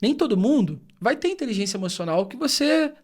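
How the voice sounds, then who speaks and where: Earnest high-voiced man. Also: very animated, a little fast, breathy edge. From Rio de Janeiro state, Brazil. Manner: earnest, thoughtful, explains at length. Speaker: podcast co-host. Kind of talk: reflective podcast conversation.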